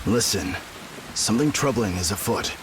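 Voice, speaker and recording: A man's voice: on the low side, expressive, moderate pace, background noise, lightly acted. A young man playing a hot-headed, loyal warrior son in a video game cutscene, intense and commanding. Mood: stern urgency.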